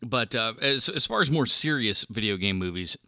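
A man's voice: mid-range voice, expressive, moderate pace, bright, forceful tone, dry recording, clean studio sound. A man hosting a film podcast solo in a casual, rambling, informal and mildly profane way. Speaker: podcast host reviewing films.

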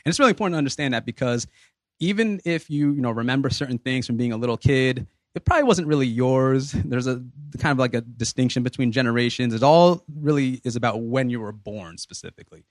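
A man's voice: medium pitch, fast, no echo. A man in his thirties hosting a podcast in a loose, easygoing way. He wanders through his points with plenty of fillers and restarts. His voice sits mid-range and is recorded close and dry. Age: 30s. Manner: relaxed, rambling with many fillers. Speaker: podcast host. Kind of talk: casual group discussion.

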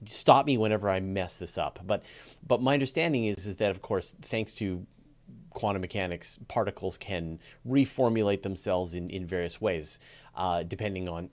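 A man hosting a science interview podcast, paraphrasing ideas in a conversational, halting way as he frames questions. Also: fairly low pitch.

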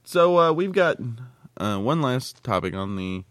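A man's voice: medium pitch, sing-song, measured pace, fairly bright tone, dry recording. An American man co-hosting a casual podcast, talking in a blunt, crude, colloquial way. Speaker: podcast co-host.

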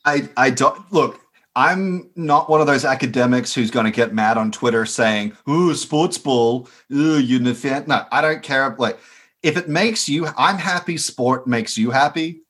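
An Australian man, a guest on a podcast, talking in a relaxed, chatty way at a middling pitch. He often breaks into a laugh as he explains things to his hosts. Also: unhurried. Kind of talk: casual chat.

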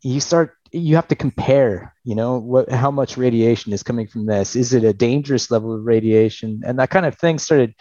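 A man's voice: medium pitch, moderate pace, few pauses, dark tone, no echo, muffled recording. A man in his forties, originally from Alaska, answering as a podcast guest in a thoughtful way, with restarts and wry laughs. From Alaska, United States. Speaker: podcast guest, party official. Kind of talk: conversational interview answers.

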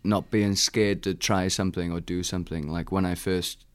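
A young man in his twenties with a Welsh accent, a musician talking in a casual interview, soft-spoken and halting, with frequent laughs. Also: sits low, steady tone, clear, resonant tone, no echo. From Wales. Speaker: musician guest.

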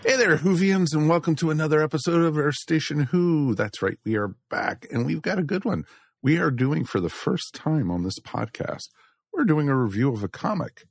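A man's voice: a little high, expressive, moderate pace, no room sound, clean studio sound. An American man hosting a podcast in a casual, chatty style. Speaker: podcast host.

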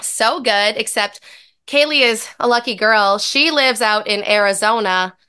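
A woman in her thirties hosting a podcast in a casual, upbeat way, her voice a little raspy from recovering from a lost voice. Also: on the high side, measured pace, sharp tone. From American Midwest. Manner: upbeat, chatty. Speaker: podcast host and dietitian.

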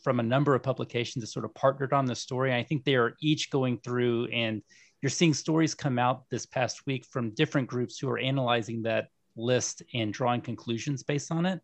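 Measured man explaining on a podcast. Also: medium pitch, no room sound. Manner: measured, informative, some fillers.

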